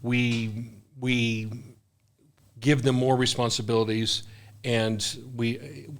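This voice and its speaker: A man's voice: medium pitch, flat delivery, slow pace, vocal fry. An older man, a missionary guest, talking earnestly in casual conversation, often restarting his sentences.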